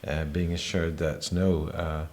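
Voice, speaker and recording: A man who grew up in Spain, a missionary guest sharing his testimony in a measured, hesitant way with many fillers. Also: very deep voice, flat delivery, a little mellow. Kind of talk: reflective personal testimony.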